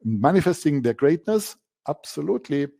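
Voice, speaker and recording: A man's voice: fairly high pitch, big pitch swings, normal speed, vocal fry, warm tone. A man from Germany with a German accent, presenting a coaching masterclass as the instructor and stressing key words by repeating them.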